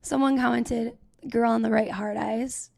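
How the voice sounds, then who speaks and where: Mellow, dry-sounding young woman with vocal fry. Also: on the high side, steady tone, a little slow, no echo. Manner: dry, short replies, wry jokes. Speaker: podcast co-host. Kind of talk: casual chat.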